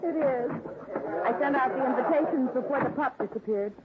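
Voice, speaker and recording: A woman's voice: high voice, brisk, over a noisy crowd, natural voice. A woman playing a friendly, playful and eager young hostess in a 1950s radio drama. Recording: very noisy, narrow-band old radio recording.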